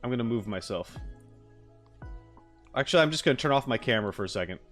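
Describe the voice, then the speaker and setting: A man's voice: medium pitch, expressive, quick pace, fairly bright tone. Man chatting casually on a livestream over music. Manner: easygoing, rambling, thinking aloud. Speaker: live streamer. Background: background music.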